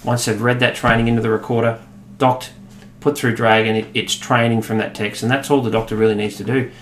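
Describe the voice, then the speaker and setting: An Australian man in his thirties walks through a software demo at his desk, relaxed and explanatory, pausing on "uh" and "um". His voice sits mid-range and bounces around an echoey office. A faint hum of room ambience hangs behind him. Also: medium pitch. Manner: relaxed, explanatory, with frequent fillers. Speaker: product demonstrator.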